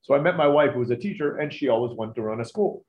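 A man's voice: medium pitch, lively intonation, fast talker. A man in his forties, raised in Turkey, chatting easily as an interview guest with a self-deprecating manner, on an echoey, dull-sounding remote line. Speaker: guest, business owner. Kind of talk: casual interview talk.

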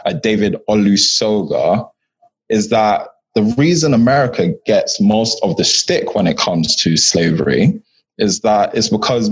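A man's voice: fairly low pitch, breathy edge. A man moderating a reflective, casual discussion, in a slightly dull recording.

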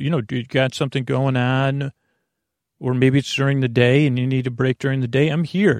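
A man with a gravelly, creaky voice at a middling pitch, recorded close and dry. He rambles as a bedtime podcast host, wandering off topic, halting and starting his sentences over. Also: no room sound. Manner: meandering and halting, trails off and restarts sentences.

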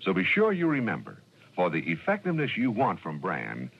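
A man announcing a commercial in the style of a friendly 1960s radio sales pitch. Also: wildly swooping pitch, a little fast, gravelly. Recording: dull, faintly noisy old radio recording.

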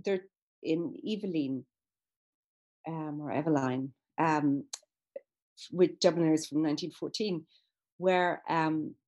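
A woman's voice: low voice, slow pace, pauses often, darkish tone, highs cut off. A woman speaking as a guest in an interview, explaining at length in a thoughtful way with frequent fillers and restarts. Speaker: guest expert on literature. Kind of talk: conversational interview.

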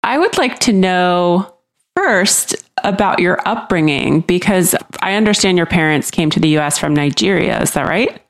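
A woman's voice: on the low side, expressive, normal speed. A woman co-hosting a podcast, reading the introduction and asking curious, friendly questions. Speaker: podcast co-host.

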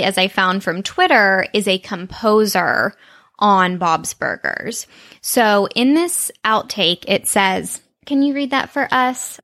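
A woman co-hosting a podcast in excitable, giggly casual chat. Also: mid-range voice. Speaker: podcast co-host.

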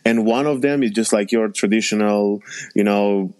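A man's voice: on the low side, moderate pace, a little mellow, rough recording. A man from Romania, a podcast host chatting casually in a rambling way with many restarts. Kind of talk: casual chat.

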